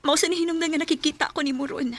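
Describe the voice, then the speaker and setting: A woman playing a very high-voiced, distraught young girlfriend. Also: steady tone, rapid-fire, close to an everyday voice. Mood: distressed. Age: young adult. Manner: pleading, tearful, resolute. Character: young pregnant woman, student, hurt girlfriend. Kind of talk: radio drama acting.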